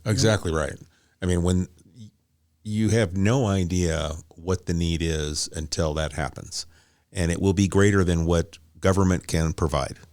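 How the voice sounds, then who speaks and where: A man's voice: low voice, fairly even intonation, unhurried, a little mellow, dry recording, studio quality. A man hosting a conversational interview, affirming his guests and explaining points. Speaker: interview host.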